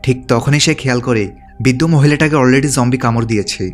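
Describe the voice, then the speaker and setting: A man's voice: mid-range voice, fast talker. A man delivering scripted narration as the narrator of a movie recap. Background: background music.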